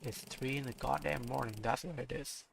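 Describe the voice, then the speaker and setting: A man voicing a gruff, sarcastic male detective woken in the middle of the night, speaking curtly and dryly. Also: mid-range voice, fairly even intonation, fairly quick, noisy background. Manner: curt, dry, reading game dialogue aloud.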